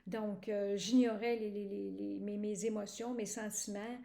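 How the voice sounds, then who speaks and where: A woman's voice: medium pitch, level tone, measured pace, gravelly, mellow, reverberant. A woman from Quebec with a Québécois accent, giving a hesitant personal testimonial with many fillers and restarts. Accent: Québécois French. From Quebec, Canada. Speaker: program participant giving a testimonial.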